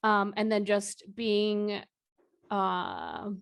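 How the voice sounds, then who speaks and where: A young woman with a low, quiet voice talks in a relaxed, reserved way. She co-hosts a casual podcast conversation and never gets loud or animated. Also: very slow, creaky, clean tone. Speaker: podcast co-host. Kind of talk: casual chat.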